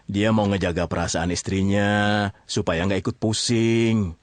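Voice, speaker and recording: A man's voice: fairly low pitch, fairly even intonation, measured pace, dry recording. A man with an Indonesian accent telling a story informally, often checking in with his listeners. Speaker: storyteller narrator addressing listeners.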